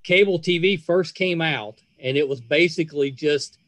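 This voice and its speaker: A middle-aged man hosting a casual podcast interview, speaking in a gushing, effusive way with halting phrasing. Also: on the high side, a bit bright, dry recording. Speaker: podcast host interviewing guests.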